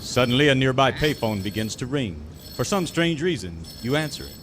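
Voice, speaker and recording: Animated, melodramatic radio serial announcer. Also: male voice, medium pitch, fairly quick, a little hiss or hum. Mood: mock-dramatic. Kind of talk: mock-dramatic scripted narration.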